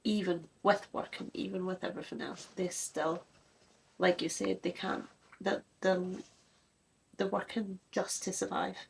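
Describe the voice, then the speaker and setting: A woman's voice: lowish voice, little pitch movement, a little slow, gravelly, slightly rough, poor audio. A woman who grew up in Germany, co-hosting a music reaction chat and giving earnest opinions in a casual way. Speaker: co-host of a music reaction channel.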